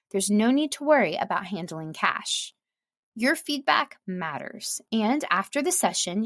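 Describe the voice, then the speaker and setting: A woman with a fairly high voice reads a script as a professional narrator. Her delivery is measured and clearly articulated. The recording is very dry, with no room sound around the voice. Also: no room sound. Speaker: voice-over artist and narrator.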